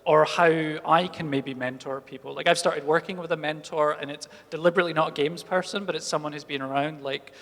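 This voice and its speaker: A man near forty talks on a festival panel, thinking out loud and restarting his sentences often. His voice sits a little high for a man. A long hall reverb trails behind his words. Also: fairly even intonation, fairly quick, hardly any pauses. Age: late 30s. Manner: thoughtful, rambling, many restarts. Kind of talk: casual panel talk.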